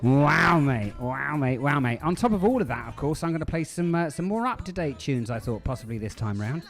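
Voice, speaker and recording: Upbeat radio host. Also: male voice, on the high side, animated, a little fast, faint music behind. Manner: enthusiastic, piles on superlatives and repeats. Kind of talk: lively live radio banter.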